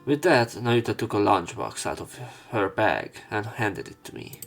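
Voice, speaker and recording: Low-voiced man reading a visual novel aloud. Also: big pitch swings, moderate pace, creaky, faint music behind. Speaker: let's play narrator.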